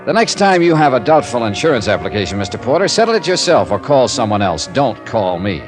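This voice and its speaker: An American actor playing a wry, hard-boiled insurance investigator in an old radio drama, clipped and deadpan. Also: male voice, mid-range voice, a little fast, close to an everyday voice. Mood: weary, wry. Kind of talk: 1950s radio detective acting, narration. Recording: vintage radio recording with music.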